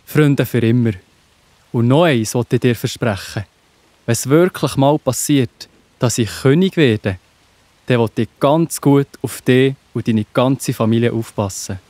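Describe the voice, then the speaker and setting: A man's voice: medium pitch, measured pace, darkish tone, no echo, natural voice. A man with a Swiss German accent playing a devout, faithful young friend in a children's Bible audio drama, speaking with heartfelt earnestness. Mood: earnest, grateful.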